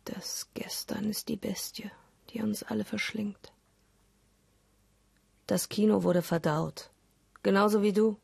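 A woman's voice: mid-range voice, fairly even intonation, slow pace, vocal fry, long pauses, darkish tone, slightly rough, no room sound. A woman narrating a scripted radio play as a storyteller.

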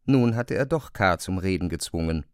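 Low-voiced, expressive male literary narrator. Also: normal speed, dry recording, clean studio sound.

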